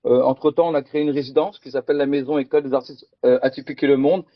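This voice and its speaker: A man's voice: medium pitch, steady tone, racing, no room sound, muffled recording. A middle-aged man from France giving a conference talk with slides, speaking hesitantly and repeating words. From France, Picardy. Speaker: conference presenter.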